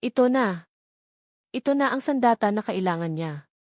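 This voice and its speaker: A woman's voice: medium pitch, lively intonation, normal speed, darkish tone, no echo, natural voice. Woman narrator playing vengeful young rich woman, story drama, emphatic, accusing, triumphant, dry sound. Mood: vindictive.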